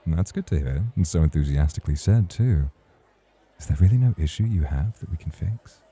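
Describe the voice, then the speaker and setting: A man's voice: deep voice, lively intonation, a little fast, warm tone, husky. A man acting in an ASMR roleplay as a suave, teasing club host, formal and flirtatious in his way of talking. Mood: courteous, probing.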